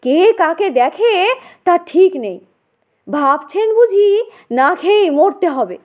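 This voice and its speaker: Woman playing an eager, high-pitched gossipy woman. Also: lively intonation, takes it slowly, a little mellow, exaggerated voice. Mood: confiding. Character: gossipy, eager woman recounting her aunt's hardship.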